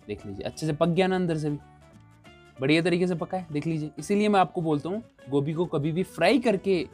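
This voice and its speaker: A man's voice: on the high side, a little mellow. A man talking through a recipe, chatty and repeating himself as he shows each step. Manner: chatty, repeats "look here" often. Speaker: cooking show chef. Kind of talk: casual cooking demonstration.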